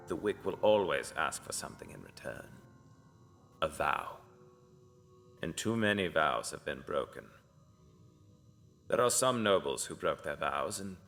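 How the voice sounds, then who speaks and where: Man playing ominous cult mentor, extremely low voice, crisp forceful edge, solemn, cryptic, dark fantasy audio drama. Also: exaggerated voice. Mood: stern. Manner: solemn, cryptic, often hushed. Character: grave, devout male cult seer, ominous mentor to an assassin.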